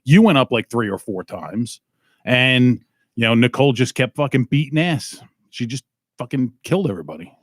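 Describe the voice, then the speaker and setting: A man's voice: medium pitch, expressive, moderate pace, no room sound, studio quality. Crude, teasing male podcast host. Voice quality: profane, teasing. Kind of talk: crude casual banter.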